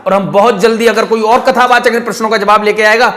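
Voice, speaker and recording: A man's voice: high voice, fairly even intonation, fast, sharp tone. A man hosting a channel, giving emphatic spoken commentary to his viewers and repeating his points. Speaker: channel host.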